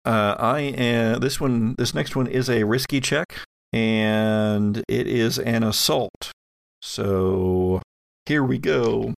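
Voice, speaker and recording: A man running a tabletop horror game as game master, improvising the narration haltingly and thinking aloud. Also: medium pitch, gravelly.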